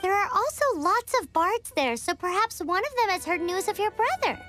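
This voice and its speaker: A woman voicing a tiny, childlike fairy guide in a video game, squeaky, perky and eager to help. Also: big pitch swings, normal speed, fairly bright tone, faint music behind, heavily acted. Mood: bright, curious. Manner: speaks of self in third person, perky. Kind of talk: video game character acting.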